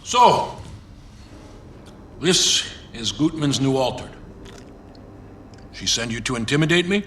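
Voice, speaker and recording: An actor playing a hot-tempered, suspicious warlord who gives forceful commands in a video game cutscene. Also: male voice, mid-range voice, expressive, slow. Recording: echoey space, faint ambient noise.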